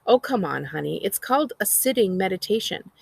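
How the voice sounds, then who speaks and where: A woman's voice: medium pitch, very animated, brisk, vocal fry, breathy edge, no echo, heavily acted. An American woman voicing a busy, nagging but affectionate mother in a storybook read-aloud. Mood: teasing, chiding. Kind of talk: storybook character reading.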